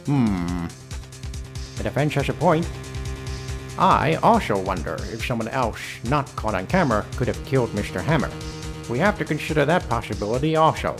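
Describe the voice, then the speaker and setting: Game role: pondering male judge , acted by a man, formal and deliberate, lively pitch, music underneath. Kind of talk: video game fan voice acting.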